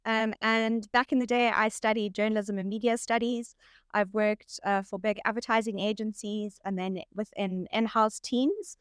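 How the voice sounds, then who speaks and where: A woman's voice: mid-range voice, fairly even intonation, moderate pace, clear, resonant tone, no room sound, studio quality. A woman with a South African accent, speaking as a friendly, upbeat guest in a podcast interview. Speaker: guest, head of marketing. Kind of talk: casual interview chat.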